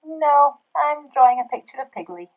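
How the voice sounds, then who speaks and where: A woman's voice: mid-range voice, expressive, unhurried, narrow, tinny audio. Woman voicing a stubborn, bouncy little pig. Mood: bouncy, defiant. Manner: emphatic, childlike refusals. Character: playful stubborn little pig putting off bedtime.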